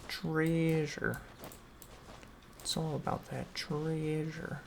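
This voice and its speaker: A man's voice: on the high side, level tone, very slow, gravelly. A man giving casual commentary as he streams a video game. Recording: noisy, game sounds behind the voice.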